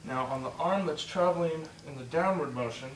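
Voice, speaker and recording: A man teaching a movement drill step by step, encouraging and repeating himself, slightly hoarse and short of breath. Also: a little high, a little fast, reverberant, far from the mic, slight background noise, poor audio. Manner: encouraging, repeats "just like that" and "good". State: losing his voice, a bit out of breath. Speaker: instructor. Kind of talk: step-by-step demonstration lesson.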